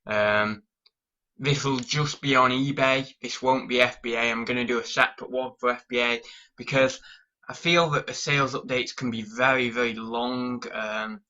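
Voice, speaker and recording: A man's voice: medium pitch, fairly even intonation, moderate pace, pushed and bright, muffled recording. A young British man with a cold, chatting casually through a sales update and rambling with lots of ums. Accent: British English. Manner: rambling with many ums and restarts. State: sniffly with a cold. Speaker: online reseller vlogger.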